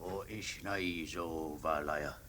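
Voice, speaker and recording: A menacing warrior guard in a vintage radio drama. He speaks very low and slowly, in quiet drawn-out phrases. The old recording carries faint noise. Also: steady tone, heavily acted. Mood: grim.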